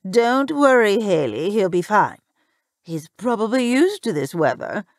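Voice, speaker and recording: A woman voicing a haughty middle-aged socialite mother, imperious, dry-witted and deadpan, in a story. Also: mid-range voice, measured pace, no room sound. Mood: dismissive.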